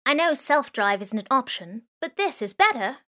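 A woman's voice: high-pitched, sing-song, normal speed, sharp tone, pitched above the performer's own voice, heavily acted. A woman voicing a young punk girl thief who talks back sharply. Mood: scolding.

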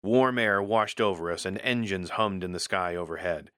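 Deep, bright-edged male story narrator. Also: moderate pace, clear, resonant tone, no echo, clean studio sound. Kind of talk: scripted first-person audio fiction narration.

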